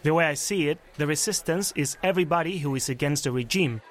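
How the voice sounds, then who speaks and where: Young guy student protester, voice altered, higher pitch, earnest. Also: quick pace, a little hiss or hum. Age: young adult. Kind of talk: interview voice-over.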